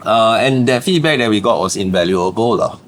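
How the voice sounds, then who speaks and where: Singaporean guy, deep voice, chatty founder story on a podcast, says lah a lot, faint hiss behind. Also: animated, normal speed. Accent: Singaporean English. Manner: chatty, laughs often, ends phrases with "lah". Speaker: startup founder, podcast guest. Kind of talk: casual interview storytelling.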